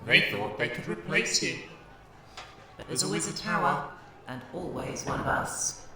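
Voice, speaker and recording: A man's voice: mid-range voice, animated, measured pace, lightly acted. An actor playing a menacing, theatrical male witch who taunts and controls in an audio horror drama. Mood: smug menace. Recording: echoey, distant, faint noise.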